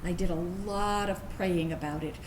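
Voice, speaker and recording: A woman's voice: fairly low pitch, normal speed, lightly acted. Earnest woman acting a veteran in echoey room. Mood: reflective, candid. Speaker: actor reading a veteran's personal narrative. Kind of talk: staged reading of a personal monologue. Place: indoor room, staged reading. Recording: echoey room, steady background noise.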